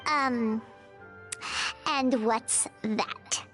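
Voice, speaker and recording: A woman voicing a tiny childlike fairy companion in an anime game, squeaky, naive and chatty, with an exclamatory manner. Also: extremely slow, a bit bright, faint music behind. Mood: puzzled. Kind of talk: English-dubbed anime game acting.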